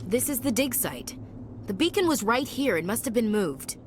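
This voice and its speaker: An actress playing an earnest, dutiful young gunnery chief in a video game. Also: female voice, a little high, big pitch swings, normal speed, background noise. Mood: alert.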